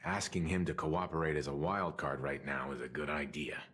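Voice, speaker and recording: A man voicing a calm, analytical strategist in a video game, measured and husky. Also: rumbling low, exaggerated voice.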